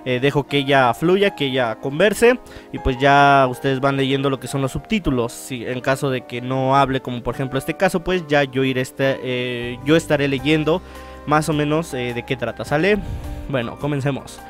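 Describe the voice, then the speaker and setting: A man hosting a visual novel let's-play, chatting casually and reading the text aloud in a halting way, often correcting himself. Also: medium pitch, faint music behind. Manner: halting read-aloud with stumbles and self-corrections. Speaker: gaming channel host.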